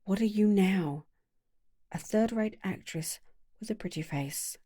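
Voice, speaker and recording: A woman doing a cold, scornful young gentleman. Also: mid-range voice, measured pace, breathy edge. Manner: bitter, scornful.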